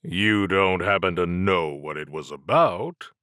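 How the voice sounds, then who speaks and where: A man voicing a plain-spoken, steady American investigator in an audiobook, questioning in a matter-of-fact way. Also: low-pitched, a little slow, no echo, clean studio sound. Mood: probing. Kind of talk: audiobook character dialogue.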